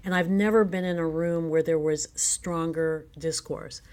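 A woman's voice: low-pitched, steady tone, a little slow, a little mellow. A woman speaking as a playwright guest in a conversational interview, earnest and reflective about her work.

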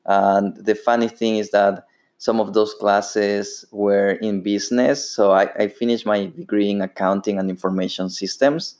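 A man's voice: on the low side, steady tone, moderate pace, darkish tone. A man in his thirties from Ecuador with a Spanish accent, hosting a solo podcast and speaking unhurriedly with frequent fillers and restarts. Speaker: podcast host.

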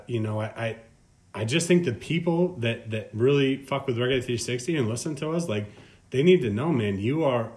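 A man's voice: mid-range voice, a little mellow. Male interview host, mid-range voice, chatty and gushing, slangy. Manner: effusive, slangy, keeps saying "man"; long winding questions. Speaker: podcast host. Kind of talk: casual interview.

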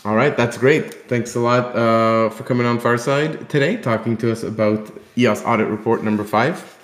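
A man's voice: mid-range voice, steady tone, moderate pace. Roomy-sounding male podcast host. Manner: friendly, filler-heavy interviewer. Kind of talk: casual podcast hosting. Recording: roomy echo.

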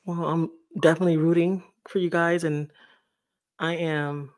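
A young adult woman with a deeper voice, hosting a casual interview in a supportive, easygoing way. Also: level tone, normal speed, darkish tone. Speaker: host.

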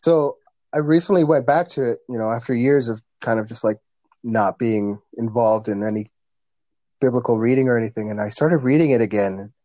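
A man's voice: mid-range voice, fairly quick, dark tone, no room sound. A man, talking earnestly as a podcast guest in a rambling, casual way with many fillers. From Canada. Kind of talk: casual conversation.

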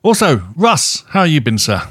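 A man's voice: a little high, very animated, a little slow, a bit bright, slightly rough, dry recording, clean studio sound. A British man chatting casually as a podcast host, with a wry, self-deprecating manner. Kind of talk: casual chat.

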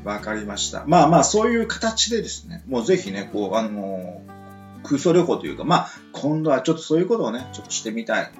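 Male co-host, western Japanese accent, animated and jokey casual radio chat; roomy, slightly dull sound. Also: mid-range voice, fast talker, soft background music. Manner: joking, frequent backchannel responses. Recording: roomy, slightly muffled recording.

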